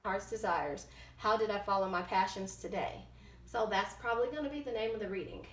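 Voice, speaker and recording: A woman giving an informal tarot and oracle card reading, partly read aloud, halting and often correcting herself as she goes. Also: mid-range voice, fairly bright tone. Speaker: tarot card reader, host. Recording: roomy, echoing sound.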